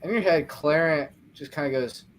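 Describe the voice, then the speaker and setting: A man's voice: on the high side, moderate pace, slight background noise. A man acting as game master, who narrates a tabletop session and trades jokes with his players in casual banter. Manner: playful, teasing, cuts back to the game.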